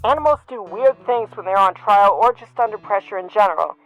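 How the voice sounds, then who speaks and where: A woman playing a calm, reassuring, experienced lawyer mentor in an amateur audio drama, with music behind. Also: medium pitch, a little fast, close to an everyday voice, poor audio. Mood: reassuring, advising. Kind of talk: fan audio drama acting.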